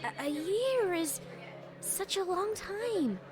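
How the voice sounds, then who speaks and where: Young unicorn mare, woman performer: high, slow and hesitant, bookish and anxious cartoon heroine for an audio drama. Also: very animated, crowd noise, exaggerated voice. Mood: guilty, fretful. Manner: hesitant, halting. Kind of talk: dramatic reading of fan fiction. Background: music.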